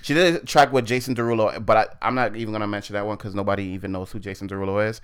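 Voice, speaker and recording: Smooth-voiced man chatting on a podcast. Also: on the low side, fairly even intonation, very fast. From Barbados. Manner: rambling, easily flustered, quotes lyrics. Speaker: podcast co-host. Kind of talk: casual chat.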